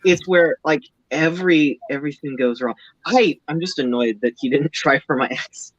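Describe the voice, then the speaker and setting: A man's voice: on the high side, normal speed, no room sound, highs cut off. A man playing in a tabletop game, chatting casually and making wry, sarcastic quips. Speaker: player.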